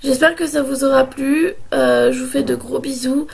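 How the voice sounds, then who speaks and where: A woman in her early twenties, hoarse from a sore throat and a cold, talking in a hesitant casual vlog with many pauses and restarts. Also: sits high, fairly even intonation, a little mellow. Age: 20s. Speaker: vlogger.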